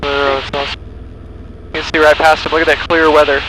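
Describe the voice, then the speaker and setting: A man's voice: a little high, flat delivery, quick pace. A young man, a co-pilot chatting casually on a headset in a small plane. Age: young adult. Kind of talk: casual cockpit chat. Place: small plane cockpit in flight. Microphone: aviation headset. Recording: cockpit intercom with clear engine noise.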